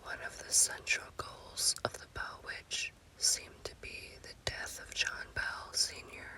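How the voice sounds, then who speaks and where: A woman speaking in a breathy, unvoiced whisper in the style of an ASMR spooky storytelling host, with deliberate phrasing. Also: bright, forceful tone, poor audio.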